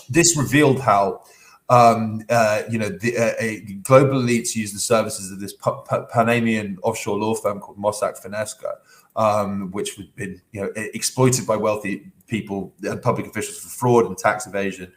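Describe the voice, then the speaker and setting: A man's voice: fairly low pitch, rough recording. A man co-hosting a podcast, talking in a rambling way with many fillers and restarts and scornful asides. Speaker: podcast co-host. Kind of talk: casual podcast commentary.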